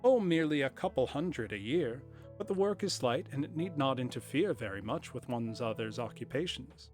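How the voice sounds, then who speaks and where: A man's voice: medium pitch, expressive, moderate pace, darkish tone, soft background music, lightly acted. A man reading aloud, voicing an eager, persuasive young shop assistant in a slightly raised voice. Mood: coaxing.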